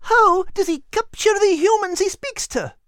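Kate, squeaky Scottish terrier. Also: normal speed, a bit bright, dry recording, studio quality. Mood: curious. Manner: folksy, plain-spoken. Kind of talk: audiobook character voice.

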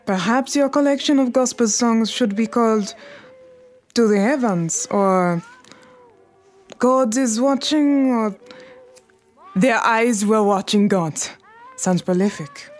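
A woman's voice: a little high, takes it slowly, long pauses, faint music behind. An actress playing an imperious, formal Harlem numbers queen in an audio drama, poised and commanding, dropping French phrases. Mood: cool, haughty.

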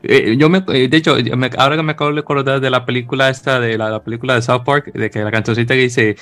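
A man's voice: medium pitch, steady tone, quick pace, a bit bright. A man hosting a casual chat, talkative, with many stammered restarts and easy laughter. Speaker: podcast host.

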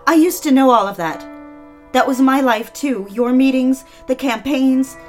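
An actress playing a desperate, obsessive mistress in an audio drama, with a needy, confessional manner. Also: female voice, sits high, a little slow, a little mellow, faint music behind. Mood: hurt, needy. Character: desperate, obsessive mistress pleading for her lover's attention.

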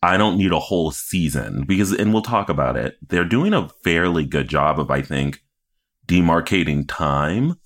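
A man with a low-pitched voice talks as a podcast co-host. He recaps television casually and conversationally, offering opinions with a wry touch. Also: animated, normal speed, fairly bright tone.

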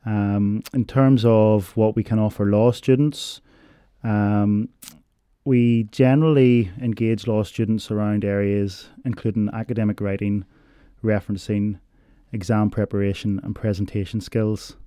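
A man explaining university learning support in a measured interview, pausing often on ums. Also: on the low side, steady tone, warm tone, clean tone, no echo, clean studio sound. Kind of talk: informational interview answers.

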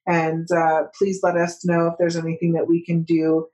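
A woman's voice: lowish voice, monotone, normal speed, dark tone. A woman hosting a podcast interview, effusive and thankful toward her guest. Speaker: podcast host.

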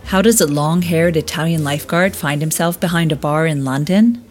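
An American woman hosts the show in a polished, scripted delivery. Her voice sits a little low, and soft music plays faintly behind her. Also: normal speed. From United States. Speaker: podcast host. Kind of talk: scripted introduction and interview questions.

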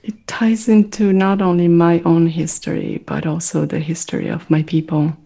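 A middle-aged woman whose first language is French, speaking as an interview guest. She talks in a thoughtful, reflective way, pausing often as she searches for words. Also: fairly low pitch, level tone, dark tone. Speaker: mindfulness meditation teacher, guest.

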